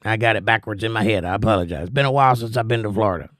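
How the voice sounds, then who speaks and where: A middle-aged man with a softened Georgia accent hosts a casual livestream, reading viewer comments aloud and answering them in a relaxed, conversational way. His voice sounds strained. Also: fairly low pitch, very fast, no echo, clean studio sound. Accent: softened Southern US (Georgia). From Georgia, USA. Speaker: livestream host reading chat.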